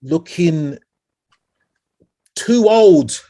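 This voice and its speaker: A man with a nasal voice co-hosting a music podcast in casual, animated chat. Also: a little high, very slow. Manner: animated, repeats "do you get me". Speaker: podcast co-host.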